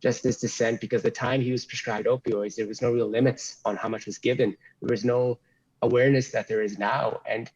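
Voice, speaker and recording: A man giving explanatory answers as an expert interview guest, in measured, formal wording, over slightly dull audio. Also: mid-range voice, steady tone, darkish tone, husky.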